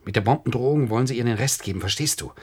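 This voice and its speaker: An actor playing a defiant political dissident and protective husband in a German radio play, earnest and intense. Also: male voice, mid-range voice, rapid-fire, darkish tone, slightly rough, natural voice. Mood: urgent, defiant.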